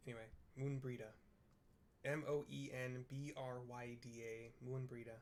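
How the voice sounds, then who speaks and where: A man's voice: mid-range voice, level tone, moderate pace. Chatty male gamer, faint background noise. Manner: chatty, rambling asides. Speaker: gamer, let's player. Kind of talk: casual running game commentary. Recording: faint noise, room ambience.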